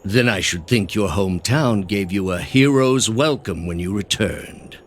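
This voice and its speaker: An actor playing a grave, zealous older male inquisitor leader in a video game, speaking in a measured, commanding way. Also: fairly low pitch, slight background noise, close to an everyday voice. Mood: cold, disdainful. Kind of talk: scripted video game dialogue. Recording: music under the voice.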